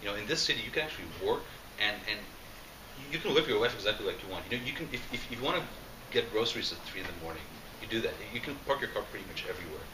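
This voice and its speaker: Interview guest, man, very low voice, rapid talker, distant mic in an echoey hall. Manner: fast, animated, many restarts and fillers. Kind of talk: casual interview conversation. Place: large roomy event space. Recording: echoey room, distant mic, background noise.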